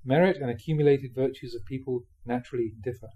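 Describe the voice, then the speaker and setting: A man with a soft-edged, mellow voice and a slightly husky grain reads spiritual teachings aloud. His pitch sits in the middle range. He phrases things in a measured, emphatic way, as a monk giving a talk would. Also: steady tone, muffled recording. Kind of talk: reading a dharma talk aloud with informal asides.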